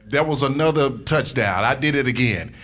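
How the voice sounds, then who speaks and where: A male sports broadcaster with a bright, forceful voice at middle pitch. He tells stories casually, voicing the dialogue as he goes. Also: lively intonation, moderate pace. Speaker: radio sports play-by-play announcer on a panel. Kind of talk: casual anecdote telling.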